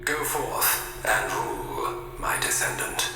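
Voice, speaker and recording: An actor playing an ancient ancestral spirit, solemn, formal and without emotion, in a game. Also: male voice, on the low side, takes it slowly. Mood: solemn, detached. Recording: cavernous echoing hall, music behind.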